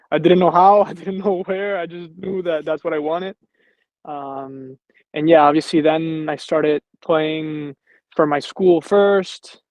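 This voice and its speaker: A man's voice: on the high side, no room sound, muffled recording. A man in his twenties from Venezuela, reflecting easily on his football career as an interview guest. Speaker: professional football player, guest. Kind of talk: casual interview.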